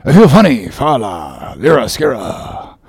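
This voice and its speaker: A carefree sailor mate muses aloud, as a man reads him, chuckling and waggish. The voice sits low with a gravelly edge. The sound is dry and close. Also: lively intonation, moderate pace, a little mellow, dry recording, heavily acted. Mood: musing. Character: jolly fatalistic sailor mate muttering to himself. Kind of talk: audiobook character reading.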